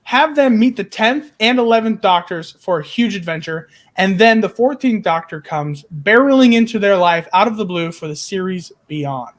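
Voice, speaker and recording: A man's voice: sits high, animated, normal speed, few pauses. A man co-hosting a fan discussion, chatting very informally in loose, conversational phrases. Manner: informal, peppers talk with "like". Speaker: podcast co-host.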